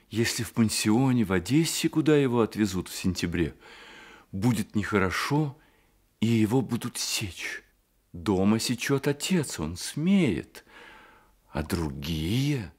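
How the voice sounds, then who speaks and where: A man narrating a radio drama as scripted literary storytelling, in a measured manner. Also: fairly low pitch, sing-song, darkish tone, slightly rough.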